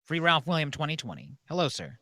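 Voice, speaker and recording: Guy hosting a call-in show, voice on the high side, very dry close sound. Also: big pitch swings, quick pace, bright, forceful tone, dry recording. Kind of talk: casual hosting chat.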